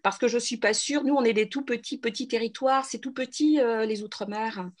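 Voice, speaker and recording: A woman's voice: a little high, a little fast. A middle-aged woman from France, a guest in a radio interview, speaking earnestly with frequent restarts and hesitations.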